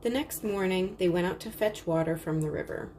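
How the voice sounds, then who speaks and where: A woman narrates a children's storybook. Her voice sits a little low for a woman, and the delivery is scripted read-aloud storytelling. Light noise is audible behind her. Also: fairly quick, a little mellow.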